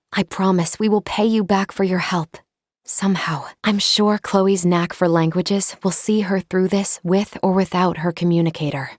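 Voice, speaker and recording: An actress voicing a composed, polite female android in a video game, speaking in formal, measured, precise phrases. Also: on the low side, a little mellow, dry recording, clean studio sound, lightly acted. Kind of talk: scripted video game character lines.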